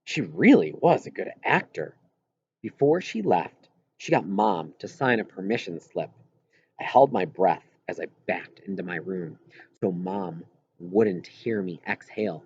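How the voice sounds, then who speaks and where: A man's voice: low voice, a little mellow, breathy edge, no room sound, lo-fi sound. A man reading a first-person story as an audiobook narrator.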